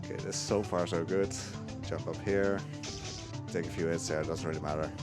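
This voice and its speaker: A man giving live speedrun commentary, relaxed and laughing often. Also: low voice, moderate pace, loud music behind the voice.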